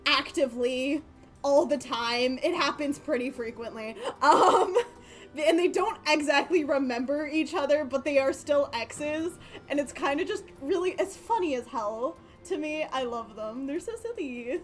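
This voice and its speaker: A young woman chats casually over a game stream in a high voice. She rambles in slang, swears freely and has a giggly manner. Faint music sits underneath. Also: measured pace. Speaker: livestreamer.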